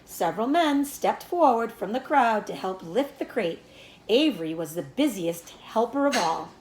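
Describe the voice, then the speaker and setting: A woman reading a children's storybook aloud as a teacher would, in expressive narration. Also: on the high side, normal speed, echoey room, poor audio.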